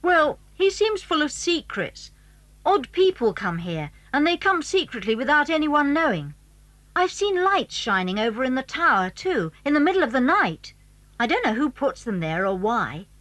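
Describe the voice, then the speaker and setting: A woman's voice: high voice, sing-song, normal speed, fairly bright tone. A woman playing a clever, secretive British schoolboy in a children's audio drama, eager and well-spoken as he explains things. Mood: confiding. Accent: British English. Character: clever, secretive schoolboy showing friends his house.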